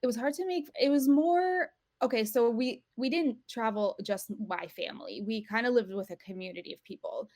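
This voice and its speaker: A woman's voice: fairly high pitch, normal speed, few pauses, a little mellow, no room sound, clean studio sound. A woman in her thirties from Portugal, a podcast guest chatting casually and often restarting her sentences. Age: 30s. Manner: chatty, self-correcting, laughs easily. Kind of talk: casual interview chat.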